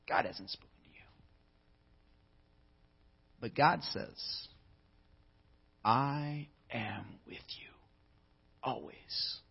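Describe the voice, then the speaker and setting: A man preaching as pastor, expressive and dropping to a whisper for emphasis. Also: a little high, husky, lo-fi sound. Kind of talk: sermon.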